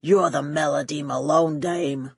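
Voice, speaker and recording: A gruff New York gangster thug from a noir audiobook, performed by a woman narrator. He growls his words with blunt, dim-witted menace. The sound is dry and close. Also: low voice, fairly even intonation, a little slow, no echo, exaggerated voice. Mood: menacing.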